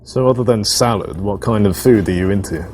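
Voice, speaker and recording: A man's voice: lowish voice, brisk, a little mellow, soft background music, natural voice. An actor playing an eager, earnest man chatting up a woman in casual date talk, hesitant and repeating his words. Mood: enthusiastic, flirty. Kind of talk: acted casual date chat.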